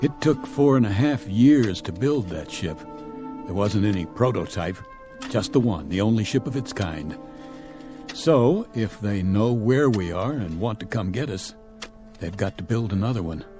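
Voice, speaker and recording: A man playing a stern, level-headed colonel commanding a stranded space crew in a radio drama, with a measured, authoritative manner. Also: on the low side, lively intonation, music in the background. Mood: resolute, matter-of-fact.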